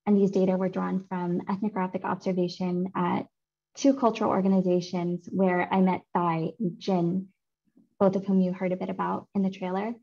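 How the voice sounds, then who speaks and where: Woman giving a measured academic talk. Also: on the low side, level tone. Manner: clear, measured academic delivery. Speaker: seminar speaker, author presenting research. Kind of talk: academic talk over slides. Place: home room. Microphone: video call. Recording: muffled video call audio.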